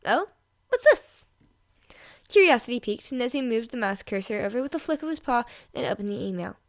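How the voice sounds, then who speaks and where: High-voiced woman narrating a story. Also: lively intonation, fast talker. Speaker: fan fiction reader and podfic host.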